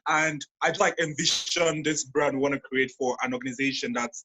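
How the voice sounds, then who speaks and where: Loose-talking man, raspy voice. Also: medium pitch, steady tone, a little fast, sharp tone, poor audio. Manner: loose, filler-heavy talk. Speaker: youth brand co-founder. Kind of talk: casual video call chat.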